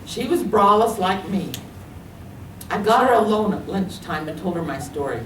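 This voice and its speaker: A woman reading her own memoir aloud at a library event, telling it with lively storytelling. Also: mid-range voice, moderate pace, slight background noise. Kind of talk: literary reading. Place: library meeting room. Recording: echoey room, distant mic.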